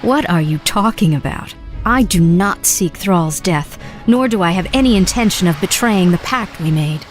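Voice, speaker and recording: A woman's voice: fairly low pitch, expressive, normal speed, soft background music, natural voice. An actress playing an earnest, determined young female sorceress in a game cutscene, speaking urgently. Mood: puzzled. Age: young adult. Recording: game cutscene with soft score.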